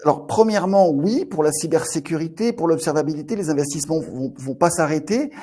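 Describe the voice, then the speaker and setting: A man with a Marseille accent giving market commentary on a webinar, halting often, restarting and repeating words as he explains. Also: on the high side, warm tone, breathy edge. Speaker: fund manager.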